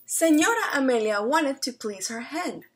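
A young woman reading a picture book aloud to children at home, deliberate and expressive, pausing to ask questions. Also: sits high. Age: young adult. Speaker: read-aloud storyteller. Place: small room at home, indoors.

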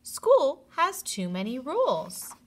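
A woman's voice: sits high, huge pitch swings, slow, pitched above the performer's own voice, heavily acted. A woman voicing an impulsive, grumbling kindergarten boy in a storybook, with childlike exclaiming. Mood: sheepish, complaining.

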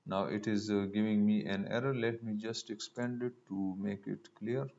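A man giving a step-by-step screencast programming tutorial, halting as he thinks aloud while typing. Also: fairly low pitch, fairly even intonation, vocal fry, darkish tone. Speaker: programming instructor. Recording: dull lo-fi mic with noise.

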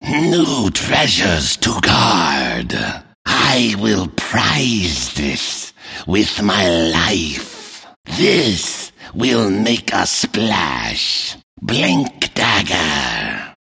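A man voicing a menacing, grim and proud deep-sea fish-man guard for a video game, in a raspy, growling voice with a forceful delivery. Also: exaggerated voice. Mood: smug, guarded. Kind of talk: video game character voice lines.

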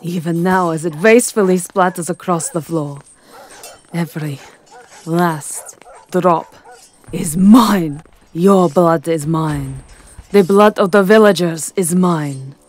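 Woman doing a haughty seductive vampire countess for asmr roleplay, lowish breathy voice, draws her words out, possessive. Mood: possessive, menacing. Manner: theatrical, deliberate, drawn-out phrasing with breathy whispers.

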